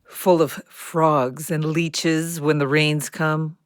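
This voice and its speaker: Lady playing an old snake in an audio play, low n slow, calm and watchful, very dry close sound. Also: no echo. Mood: calm, knowing. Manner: slow, deliberate, sparse phrasing. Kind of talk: audio drama acting.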